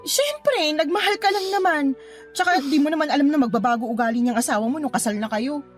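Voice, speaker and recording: A very high, sassy female voice from an actress as a protective cousin in a radio drama. She is blunt and indignant, with faint music behind her. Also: lively intonation, brisk. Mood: consoling. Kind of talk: Tagalog radio drama dialogue.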